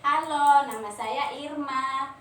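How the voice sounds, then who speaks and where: A woman's voice: high-pitched, slow, a bit bright. A woman in her twenties, a nursing student acting as a patient, introducing herself formally. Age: 20s. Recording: roomy, distant, faint noise.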